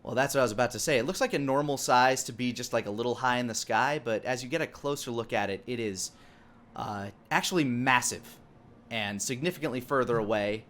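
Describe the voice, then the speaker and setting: A man's voice: medium pitch, fairly quick, a bit bright. A man narrating a tabletop game as game master, improvising and searching for words as he describes things. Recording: clearly noisy background.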